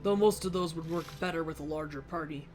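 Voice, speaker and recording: A woman's voice: low voice, fast talker. A woman hosts a let's play in a casual, conversational way. Her delivery is wry and a little sarcastic, drifting in and out of character. Game music plays behind her. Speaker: let's play host, gamer. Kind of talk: casual gameplay commentary, partly in character.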